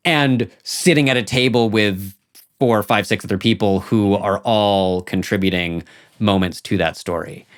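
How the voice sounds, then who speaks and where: A man hosting a casual interview, agreeable and thinking out loud as he talks. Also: lowish voice, measured pace. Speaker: podcast host, interviewer.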